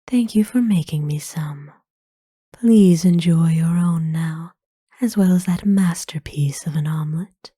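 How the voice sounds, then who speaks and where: Casting: woman as ancient vampire noblewoman for ASMR roleplay, very dark soft tone, breathy whisper, kind and formal, unhurried. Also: on the low side, no echo, studio quality. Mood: warm, gentle. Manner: formal, slow, tender, hesitant pauses. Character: kind, courtly centuries-old female vampire owner, gently doting. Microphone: close dry microphone.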